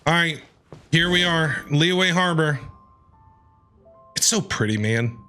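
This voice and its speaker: A woman's voice: low voice, animated, slow, pushed and bright, soft background music. A woman streamer giving playful, heckling reaction commentary while playing a game.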